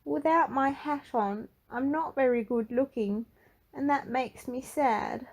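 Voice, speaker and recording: A woman's voice: high voice, takes it slowly, pitched above the performer's own voice, heavily acted, low-quality recording. A woman voicing a bashful, self-conscious young man from a children's storybook, speaking sheepishly. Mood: embarrassed, sad. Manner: sheepish, confessing. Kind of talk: storybook character voice.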